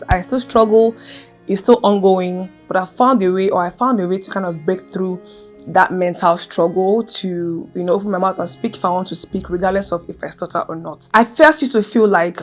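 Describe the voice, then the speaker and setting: A young adult woman vlogging casually to camera, earnest and emphatic, who speaks with a stutter and repeats her key phrases. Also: on the low side.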